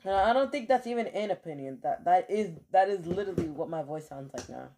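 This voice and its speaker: A man's voice: high-pitched, lively intonation, a little fast, mellow. A man chatting casually to his stream while he plays, rambling with lots of fillers and offhand swearing, keyboard clicks behind him. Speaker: game streamer.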